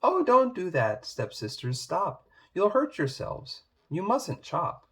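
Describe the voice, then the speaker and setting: A man's voice: sits high, huge pitch swings, slow pace, husky, pitched above the performer's own voice, full character voice. A man voicing a prim, protesting but forgiving young woman from a children's fairy-tale storybook. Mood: alarmed. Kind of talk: children's storybook read-aloud.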